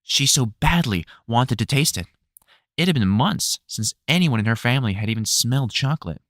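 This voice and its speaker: A man narrates a storybook with expressive, animated intonation that rises and falls freely. His mid-pitched voice is measured in its storytelling, and it sounds dry, with no room around it. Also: no room sound.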